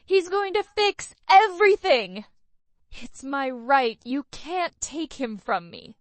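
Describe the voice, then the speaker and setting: A woman's voice: big pitch swings, slow pace, fairly bright tone, no room sound, exaggerated voice. A woman voicing a frantic, possessive, unhinged woman who shrieks and screams. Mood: shrieking. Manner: shrill, screaming.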